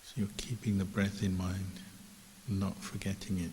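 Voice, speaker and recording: Low, even-voiced man guiding meditation, hall reverb. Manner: calm, measured, with long pauses. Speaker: Buddhist monk, meditation teacher. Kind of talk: guided meditation instruction. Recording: echoey hall reverb with clear hiss.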